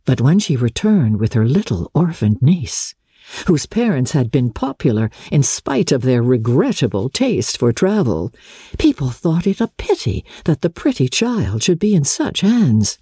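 A woman narrating classic literature as a dramatic reading, with expressive, measured storytelling. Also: a bass voice, slightly rough, no echo, studio quality. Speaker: audiobook narrator.

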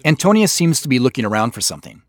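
A man giving scripted behind-the-scenes commentary as a show's creator, explaining in a measured way. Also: mid-range voice, big pitch swings.

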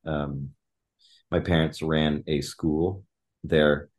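Deep-voiced man musing with many restarts. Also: flat delivery. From Thailand. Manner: reflective, halting with many restarts and fillers. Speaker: podcast guest. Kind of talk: conversational interview.

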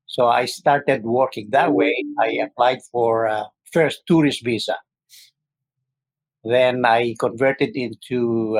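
A man from the Philippines in his sixties, a guest telling his story in a casual interview, often pausing with fillers. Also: mid-range voice, dry recording, poor audio. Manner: hesitant, frequent uh fillers.

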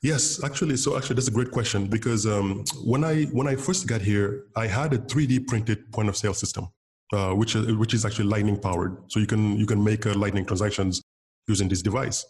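Man, Wolof speaker, rapid delivery, earnest interview guest. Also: on the low side, darkish tone. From Senegal. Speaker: guest developer. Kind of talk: casual interview answers.

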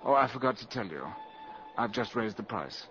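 An actor playing a suave, self-assured valet turned spy in a 1950s radio drama, sardonic and theatrically polite. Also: male voice, lowish voice, expressive, normal speed, a bit bright, soft background music. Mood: cool bargaining. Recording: dull old 1950s radio recording.

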